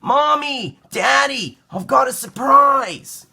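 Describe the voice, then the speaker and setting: An eager, sweet little storybook boy, acted by a man reading aloud. The voice is pitched way up, bright and excitable. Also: slow, gravelly, slightly rough, exaggerated voice. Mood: happy, excited.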